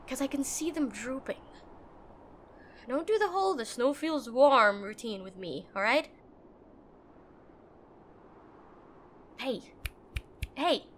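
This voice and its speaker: A woman playing a brusque, protective and frightened tsundere girlfriend in a roleplay audio drama, speaking urgently and haltingly straight to the listener. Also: high-pitched, fairly bright tone, a little hiss or hum. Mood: brusque, worried. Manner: bossy, urgent, emotional, halting.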